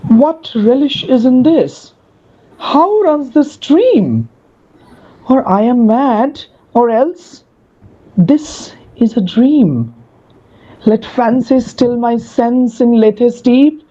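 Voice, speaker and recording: Woman doing dazed lovestruck young shakespeare guy, slow and soft-toned, dull muffled recording. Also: on the high side, expressive, full character voice. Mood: dreamy wonder. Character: bewildered, dreamy, smitten young gentleman, Shakespearean comedy. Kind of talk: dramatic reading of Shakespeare verse.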